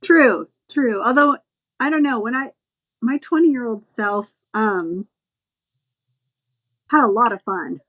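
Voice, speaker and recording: A woman's voice: a little high, expressive, a bit bright. Reflective woman podcast guest. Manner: reflective, halting, with fillers and repeated phrases. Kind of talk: casual group chat.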